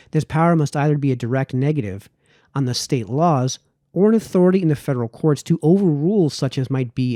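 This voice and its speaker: A man narrates a formal text in the manner of an amateur audiobook reader. His voice is mellow and mid-pitched, with very animated intonation and measured phrasing that drops at the ends of sentences. The sound is dry and close. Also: dry recording. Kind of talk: read-aloud of a formal political essay, audiobook narration.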